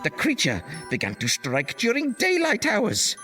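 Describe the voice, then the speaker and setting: A man's voice: high-pitched, wildly swooping pitch, unhurried, over music, heavily acted. A man playing a theatrical, doddery old storyteller of mock-gothic tall tales, hammy and dramatic. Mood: ominous, dramatic.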